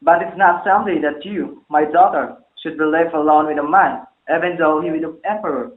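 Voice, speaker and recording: A man's voice: on the high side, a little fast, natural voice. A man playing a stern, anxious bell-maker father in a formal, declamatory stage drama. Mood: worried. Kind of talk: amateur stage-play dialogue, formal archaic English. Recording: muffled, phone-like, echoey room.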